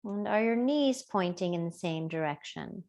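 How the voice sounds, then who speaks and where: A woman teacher gently guiding a body scan meditation in an unhurried, soothing way with long pauses. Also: medium pitch, animated. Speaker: yoga and body awareness teacher.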